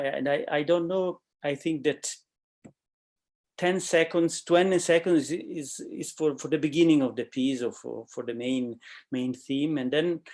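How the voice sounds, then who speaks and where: A composer being interviewed over a video call, who speaks haltingly in non-native English and often stops to find the next word. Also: male voice, mid-range voice, a little mellow. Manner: halting, searching for words, non-native phrasing. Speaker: guest composer. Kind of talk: casual interview answers.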